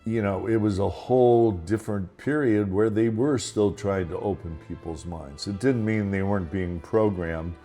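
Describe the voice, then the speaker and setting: Deep-voiced older man rambling. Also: moderate pace, few pauses, dark tone, faint music behind. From Los Angeles, United States. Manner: rambling, with restarts and asides. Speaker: podcast host. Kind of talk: casual monologue.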